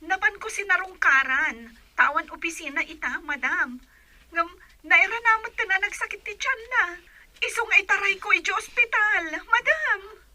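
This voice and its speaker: A woman's voice: very high voice, animated, moderate pace, bright, forceful tone, a little hiss or hum, exaggerated voice. An actress playing a flustered, upset woman in a radio drama, speaking hesitantly and deferentially. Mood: urgent, anxious.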